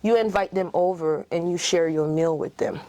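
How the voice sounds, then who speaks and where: A woman's voice: on the low side, steady tone, moderate pace, dark tone, no room sound. A middle-aged Haitian woman whose first language is French, speaking in a Haitian French accent as a dentist and clinic owner in a casual interview, telling her story with many fillers and restarts.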